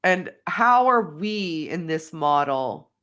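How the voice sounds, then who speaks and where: A woman's voice: low-pitched, lively intonation, slow pace, a bit bright, clear, resonant tone. A woman with a cold, a podcast guest who thinks aloud in casual conversation, hedging often with "like". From Australia. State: has a cold, sniffly. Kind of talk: casual conversation over drinks.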